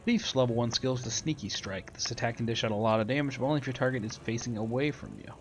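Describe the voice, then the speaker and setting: A man's voice: medium pitch, quick pace. A man giving casual, matter-of-fact gameplay commentary and reading tutorial text aloud as he plays. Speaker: gamer doing a playthrough.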